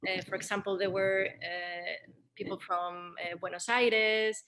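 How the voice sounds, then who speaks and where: A woman moderating a panel Q&A off the cuff, phrasing her questions and comments carefully and haltingly. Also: medium pitch, fairly even intonation, fairly bright tone.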